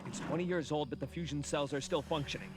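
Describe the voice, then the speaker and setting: A man's voice: a little high, steady tone, fast, noisy background, natural voice. A man playing a young, protective cartoon hero with a commanding, dramatic way of talking. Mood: urgent, commanding. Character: young heroic jungle vigilante, protective and determined. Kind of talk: animated action series dialogue. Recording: loud music under dialogue.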